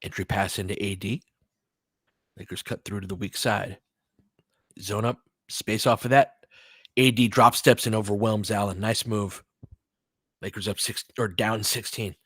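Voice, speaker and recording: A man's voice: on the low side, steady tone, unhurried, long pauses, a bit bright, husky, no room sound, clean studio sound. Man calling basketball in clipped, informal phrases. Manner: clipped phrases mixed with conversational fan asides. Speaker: basketball play-by-play commentator and analyst. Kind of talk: informal live play-by-play commentary with coaching analysis.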